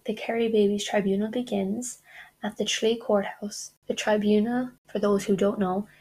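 A woman's voice: medium pitch, a little mellow, slight background noise, rough recording. A young woman narrating a true-crime podcast from a script, breaking off into indignant asides. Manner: indignant asides between read facts. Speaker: podcast host.

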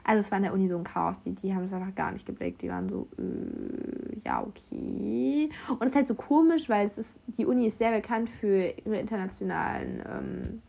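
A woman's voice: mid-range voice, brisk, gravelly, breathy edge. A young woman from Germany, a university student abroad, casually telling anecdotes in a chatty way and acting out what other people said. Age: young adult. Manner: chatty, animated quoting of others, frequent fillers. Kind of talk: casual storytelling.

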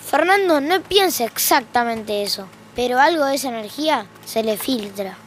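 A woman's voice: fairly high pitch, animated, moderate pace, slight background noise, natural voice. An Argentine woman playing the wry, conversational narrator of a fiction podcast about a married couple's squabbles.

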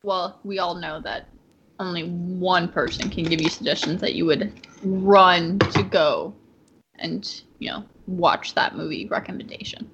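A woman's voice: on the low side, fairly even intonation, a little slow, gravelly, fairly bright tone, lo-fi sound, a little hiss or hum. A woman co-hosting a livestream podcast, bantering casually and teasing her co-host.

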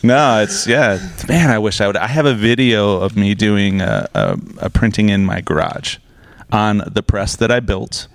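A man in his fifties co-hosting a podcast, telling stories in an animated, self-deprecating way. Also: lowish voice, moderate pace, creaky. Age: 50s. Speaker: podcast co-host. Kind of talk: casual podcast conversation.

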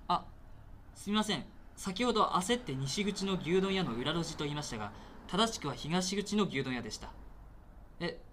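A man's voice: high voice, animated, moderate pace, background noise. A man playing an earnest, indignant railway station attendant in a radio drama, speaking in polite but insistent, reproachful sentences. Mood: flustered, apologetic. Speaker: actor in an amateur radio drama. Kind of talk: naturalistic radio drama dialogue.

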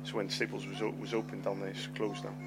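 A man's voice: fairly low pitch, little pitch movement, quick pace. A middle-aged man, a lisp and a stammer, telling a story on his podcast, swearing freely and repeating words. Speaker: podcast host. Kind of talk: storytelling monologue. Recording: loud music behind voice.

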